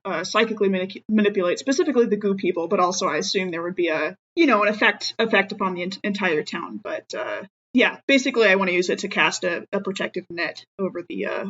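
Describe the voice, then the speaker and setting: A woman's voice: mid-range voice, a bit bright. Woman gamer, slightly dull lo-fi recording. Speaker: player in a tabletop game. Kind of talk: casual game-table chat.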